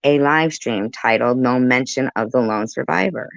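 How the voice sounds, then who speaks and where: A woman's voice: very deep voice, normal speed, vocal fry, no echo, dull audio. A woman giving casual reaction commentary on a live stream, chatty and argumentative as she interjects.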